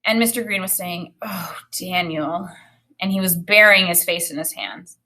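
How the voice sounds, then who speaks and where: A woman's voice: medium pitch, fairly quick, bright, forceful tone. Woman reading a children's novel aloud expressively. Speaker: read-aloud narrator.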